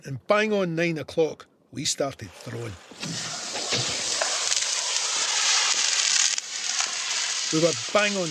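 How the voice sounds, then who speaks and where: An actor with a Scottish accent playing a soldier who narrates his war memoir in a measured, vivid, plain-spoken way. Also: fairly high pitch, background noise. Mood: steady, intent. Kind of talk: scripted first-person war memoir narration.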